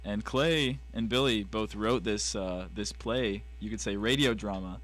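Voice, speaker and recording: A man's voice: on the low side, unhurried, a bit bright. A young man co-hosting a radio show in casual, joking chat. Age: young adult.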